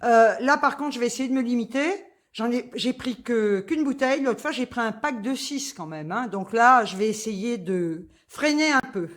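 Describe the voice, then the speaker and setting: A woman's voice: a little high, animated, moderate pace. A woman, chatting casually through a grocery haul, full of fillers and asides. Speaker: vlogger.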